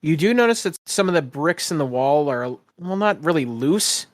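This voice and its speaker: A man's voice: fairly high pitch, animated, moderate pace, dry recording. Casual male game master, very dry sound. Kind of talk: casual improvised game narration.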